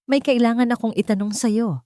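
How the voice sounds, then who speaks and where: A woman voicing a soft-spoken, worried adult man who questions his partner. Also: a little high, brisk, dry recording, studio quality, pitched above the performer's own voice, natural voice. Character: adult man, gentle but doubtful partner.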